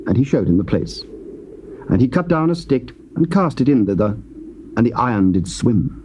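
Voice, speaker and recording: A man's voice: mid-range voice, expressive, dark tone. Man in stately scripture narration. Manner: measured, stately reading. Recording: faint tape hiss.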